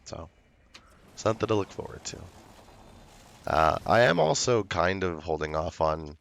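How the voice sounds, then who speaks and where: A young man streaming a game, with a hoarse voice from illness, chatting slowly and loosely. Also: low voice, sing-song, fairly bright tone, slight background noise. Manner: slow, rambling, laid-back talk. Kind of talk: casual livestream chat.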